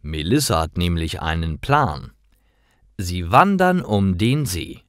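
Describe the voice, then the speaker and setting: Man, scripted story narration, unhurried, careful phrasing, very dry close recording. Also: low-pitched, big pitch swings, a bit bright, clear, resonant tone, dry recording. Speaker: storyteller for German learners. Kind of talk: scripted story narration, simple learner sentences.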